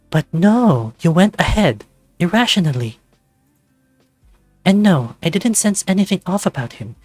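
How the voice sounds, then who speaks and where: Man voicing an angry scolding furry woman, raised pitch. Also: no echo. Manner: exasperated, berating. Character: angry, scolding female furry lab colleague.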